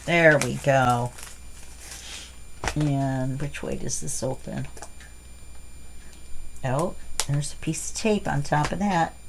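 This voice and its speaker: A woman's voice: sits low, takes it slowly, long pauses, background noise. A middle-aged woman hosting a casual crafting unboxing, chatty and rambling with fillers, her voice stuffy from allergies. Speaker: crafting channel host.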